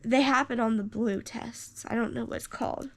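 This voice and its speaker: A young woman in her twenties telling a personal story to camera, animated, rambling with fillers and jumping up in pitch for quoted lines. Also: normal speed. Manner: rambling with many fillers and restarts. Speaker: vlogger.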